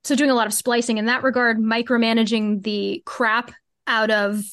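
A woman's voice: on the high side, flat delivery, normal speed, a bit bright, no room sound, clean studio sound. A woman speaking as a podcast guest, explaining creative work in an informal, conversational way with casual filler words. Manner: explanatory, informal, peppered with "like" and "cool". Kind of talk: casual interview conversation about craft.

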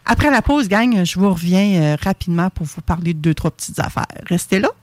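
A woman's voice: on the low side, animated, darkish tone, no room sound. A woman with a Québécois accent hosting a casual radio interview, chatty and enthusiastic. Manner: enthusiastic, chatty, quick bursts. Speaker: radio host.

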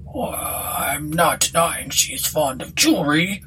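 A woman's voice: low voice, lively intonation, slow pace, fairly bright tone, husky, heavily acted. A woman voicing a plain-spoken rustic English landlord with homely, puzzled country phrasing in a storybook reading. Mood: bashful.

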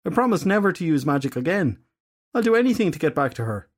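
A man voicing a humbled dark magician who pleads earnestly. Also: a little high, very animated, fast talker, voice pushed up.

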